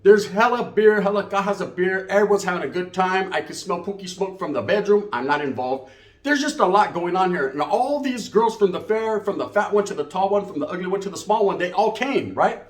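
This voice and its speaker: A man with a fairly high voice and a Chicano Californian accent, recorded in an echoey room. He tells a comic story casually, full of street slang and bits of Spanish. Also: expressive, fairly quick. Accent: Chicano Californian American. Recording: roomy, echoey sound.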